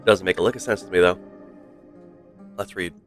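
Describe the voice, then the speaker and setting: Low-voiced man streaming over prominent music. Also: steady tone, normal speed. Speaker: streamer reading game dialogue aloud. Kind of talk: game livestream commentary and read-aloud.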